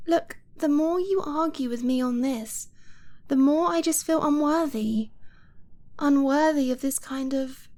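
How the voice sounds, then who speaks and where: A woman playing a tender, devoted dragon-blooded girlfriend in an intimate ASMR romance roleplay, soft and breathy, hesitant and affectionate, often slipping into a whisper. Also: sits high. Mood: overwhelmed, insecure. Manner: slow, hesitant, pleading and affectionate.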